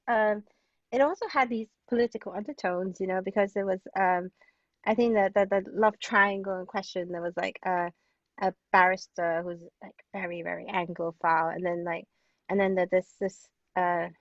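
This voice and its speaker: A woman's voice: medium pitch, dry recording, muffled recording. A woman from Hong Kong chatting casually as an interview guest, her talk full of "like" and "you know". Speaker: interview guest, playwright and translator. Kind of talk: casual conversation.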